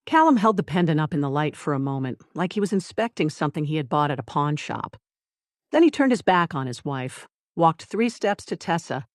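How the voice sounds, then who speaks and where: This is a story narrator delivering scripted drama in a deliberate manner. Short phrases are set apart with pauses for emphasis. The sound is dry, with no room around the voice. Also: medium pitch, no echo.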